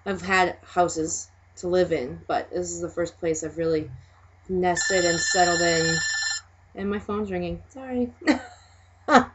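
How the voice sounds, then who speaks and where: A woman's voice: fairly low pitch, slow, pauses often, a little hiss or hum. A young woman from Minnesota with a Minnesota accent, hosting a casual video chat, chatty and laughing often between remarks. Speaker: host. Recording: dull lo-fi sound.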